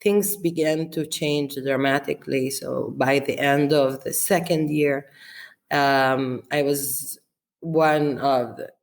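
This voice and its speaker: A woman's voice: very deep voice, steady tone, slow. A woman, a chef being interviewed, talking in a reflective way with fillers, restarts and frequent laughs. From Israel. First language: Arabic. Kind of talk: relaxed conversational interview.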